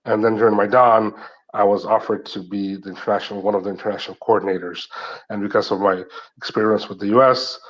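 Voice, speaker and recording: A man from Ukraine with a North American-tinged English accent, recounting events at length in a casual live audio chat, rambling with many restarts and fillers. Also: on the low side. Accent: North American English, Ukrainian speaker. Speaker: guest speaker. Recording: dull lo-fi audio.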